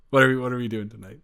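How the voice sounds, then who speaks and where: A man's voice: medium pitch, vocal fry, a bit bright, clear, resonant tone. A man playing an eager, smitten young boyfriend in an improvised intimate audio roleplay, stammering and correcting himself as he goes. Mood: playful, excited. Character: eager, smitten, flustered young boyfriend, sweet and easily overwhelmed.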